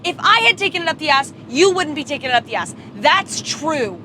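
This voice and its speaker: A young American woman with a high voice argues her side, defiant and insistent. She is livestreaming from inside a car, with clear engine and road noise behind her. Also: very animated, brisk, pushed and bright. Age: young adult. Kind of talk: heated argument on a live road-trip stream.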